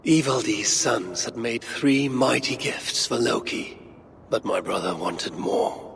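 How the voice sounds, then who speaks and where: Grave, cunning male Norse god for a game; slow, gravelly delivery, measured and secretive. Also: mid-range voice, animated, background noise. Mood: solemn storytelling. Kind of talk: video game character acting.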